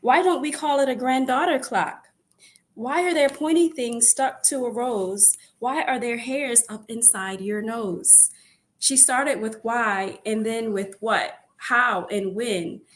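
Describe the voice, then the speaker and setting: A woman's voice: on the high side, slow pace. A woman storyteller reading a children's picture book aloud, expressively and with phrases repeated for emphasis. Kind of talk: read-aloud bedtime story narration.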